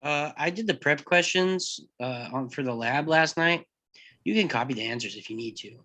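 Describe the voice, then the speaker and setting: A man playing a timid, agreeable teenage boy in a table read, speaking in a hesitant, halting way. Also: fairly high pitch, bright, forceful tone. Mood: timid, accommodating. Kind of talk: live table read acting.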